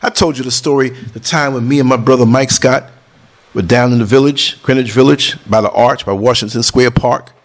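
Vlogger, middle-aged man, casual but preachy, leaps between high and low tones. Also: normal speed. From New York City. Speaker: vlogger and podcast host. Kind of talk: casual talk over concert footage.

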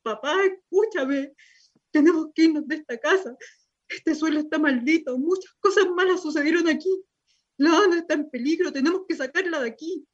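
A woman's voice: sits high, normal speed, natural voice. A woman with a Chilean accent playing an earnest, insistent, distraught daughter protecting her sister in a radio drama. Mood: urgent pleading. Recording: dull, lo-fi sound.

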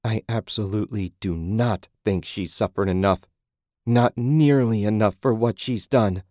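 A man voicing a furious, grieving woman in an audiobook, vengeful, forceful and profane as the character confronts someone. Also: low voice, fairly even intonation, normal speed, no echo, studio quality, close to an everyday voice. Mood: furious, scornful.

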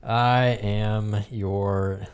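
A man's voice: fairly low pitch, fairly even intonation, very slow. A man hosting a casual podcast, chatting in a joking, sarcastic way with his co-host. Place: room with another person.

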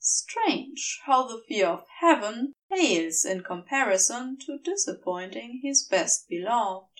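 A woman reads fiction aloud, set slightly back from the microphone. Her high voice moves with lively, expressive swings of pitch, while the delivery stays slow and measured, like careful audiobook storytelling. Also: recorded from a distance, poor audio. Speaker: fan fiction audiobook reader. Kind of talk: scripted story narration.

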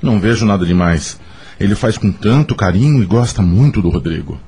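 A man's voice: lowish voice, lively intonation, brisk, warm tone, slightly rough, heavily acted. A man acting in a radio soap opera as a frank, supportive confidant, reassuring and matter-of-fact. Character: Carlos, frank, supportive male confidant giving advice.

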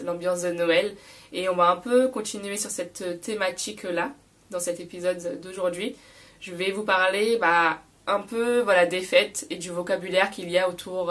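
Young woman host, stuffy from a cold, slightly hoarse, low voice, sits back from the mic, chatty teaching tone. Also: far from the mic. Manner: frequent fillers, repeats key words slowly. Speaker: podcast host teaching French. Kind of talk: casual spoken lesson.

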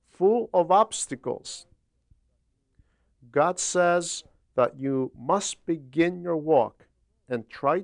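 Slow-paced man reading a homily. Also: a little high, dry recording, clean studio sound. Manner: deliberate, didactic, with frequent pauses between phrases. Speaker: homily reader and translator. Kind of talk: religious homily, read aloud.

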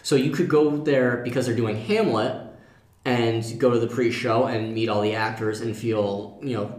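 A man's voice: mid-range voice, fairly even intonation, moderate pace, a little mellow. A man co-hosting a casual panel discussion, explaining his points through examples. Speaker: podcast co-host. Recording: echoey room.

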